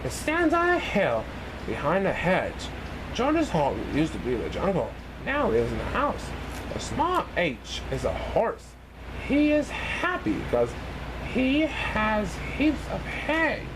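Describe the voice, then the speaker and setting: A man reading a children's picture book aloud in an expressive, sing-song way for young listeners. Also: sits high, slow, background noise. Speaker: storybook reader in a banana persona.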